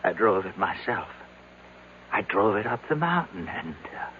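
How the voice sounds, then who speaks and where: A man's voice: fairly low pitch, very animated, measured pace. An actor playing a frightened man suddenly aged to seventy-five in an old radio drama, faltering, pleading and agitated. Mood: haunted, fearful. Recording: noisy, narrow-band old radio recording.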